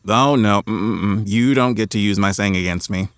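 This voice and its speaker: An actor playing a frank, teasing, insistent friend in an audio drama, playfully blunt. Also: male voice, on the low side, moderate pace, clear, resonant tone, no room sound, natural voice. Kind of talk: naturalistic audio drama acting.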